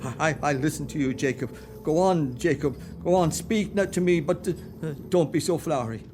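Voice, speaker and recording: An Irish man plays a jittery old miser in a radio play who stammers and blusters in a rather high voice. He stubbornly scoffs, then trembles. Faint hiss sits behind him. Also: fairly quick, close to an everyday voice. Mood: pleading.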